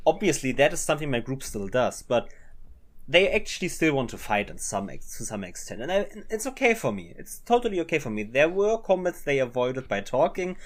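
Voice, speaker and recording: A man's voice: mid-range voice, sing-song, fairly quick. A man whose first language is German, co-hosting a casual tabletop-gaming podcast in a playful, chatty way full of restarts. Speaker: podcast co-host. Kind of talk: casual chat. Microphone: webcam setup, home recording.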